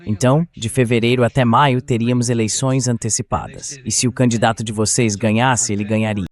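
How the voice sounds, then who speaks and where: A man's voice: mid-range voice, fairly even intonation, a little fast. A man with a Brazilian accent speaking as an interview guest in a translated voice-over, explaining in a conversational way. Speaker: interview guest giving political commentary.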